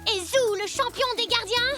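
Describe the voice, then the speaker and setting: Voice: extremely high pitch, moderate pace. An actor voicing a playful, confident little zebra child in a French children's cartoon, with music behind. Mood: teasing.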